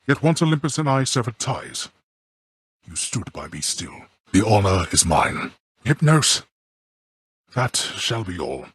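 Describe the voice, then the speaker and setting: An actor playing a stern, imperious god-king of the underworld in a video game, with formal, commanding, disdainful and measured delivery. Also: lowish voice, fairly bright tone, husky, no echo, studio quality, heavily acted. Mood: solemn, then stern, menacing. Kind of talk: theatrical scripted video game dialogue.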